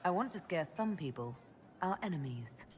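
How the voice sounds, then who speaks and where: An actress playing a composed, inquisitive mage leader in a video game, asking measured, direct questions. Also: female voice, deep voice, animated, darkish tone, a little hiss or hum. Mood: cool, probing. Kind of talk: video game dialogue acting.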